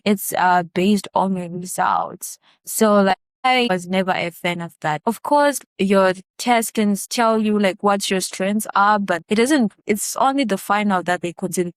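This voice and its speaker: A young woman from Zimbabwe speaks with a smooth, clear voice in a dry, close recording. She answers in a relaxed, conversational way and often pauses on fillers. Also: mid-range voice, no room sound. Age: young adult. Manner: relaxed, many fillers, laughs lightly. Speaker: student guest.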